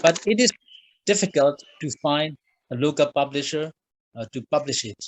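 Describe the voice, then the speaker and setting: A man from Myanmar with a Burmese accent, a guest author answering interview questions in a halting way with many fillers. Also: on the high side, animated, no room sound, rough recording. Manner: halting, frequent uh fillers, simple phrasing.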